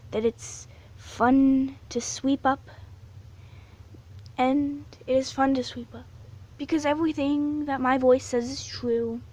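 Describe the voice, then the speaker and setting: A woman guiding a hypnosis induction, slow and soothing, repeating suggestions over and over. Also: high-pitched, a little mellow.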